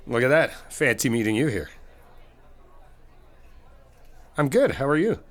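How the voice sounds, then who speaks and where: A man playing a supportive, easygoing best friend in a casual ASMR comfort roleplay, talking conversationally and reassuringly as if to one listener. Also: mid-range voice. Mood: friendly, concerned. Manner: conversational, reassuring, hesitant rephrasing. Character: supportive, easygoing male best friend giving a pep talk. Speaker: audio roleplay performer.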